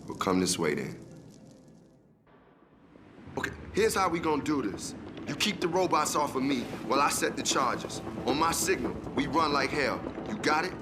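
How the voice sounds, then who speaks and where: An actor voicing a cocky, joking demolitions expert with a colloquial, swaggering way of talking in a video game. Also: male voice, a little high, very animated, moderate pace, background noise. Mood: brisk. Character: cocky, folksy male demolitions expert in the resistance. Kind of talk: video game cutscene acting.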